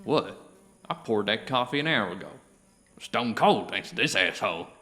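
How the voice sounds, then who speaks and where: A man's voice: on the low side, expressive, moderate pace, noisy background, close to an everyday voice. An actor playing an irritable, grumbling lawman in a western audio drama. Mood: irritated.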